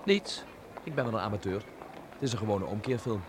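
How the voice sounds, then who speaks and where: An actor playing a young Dutch amateur filmmaker in a radio drama, wry and observant, with a reflective, measured delivery and a gravelly voice. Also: male voice, on the low side, animated, natural voice. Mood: politely evasive. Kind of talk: radio drama acting and letter narration. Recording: noisy old radio play recording.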